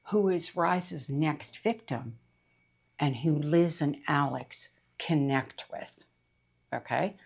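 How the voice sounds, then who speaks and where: Low husky middle-aged woman rambling about books, voice rough from being sick. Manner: rambling, chatty, many fillers and asides. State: voice rough from recent illness. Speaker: book reviewer. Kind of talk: casual book review chat.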